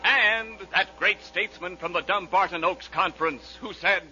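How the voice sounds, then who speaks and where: High-pitched male 1940s radio announcer over music. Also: normal speed. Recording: old 1940s radio broadcast, dull sound.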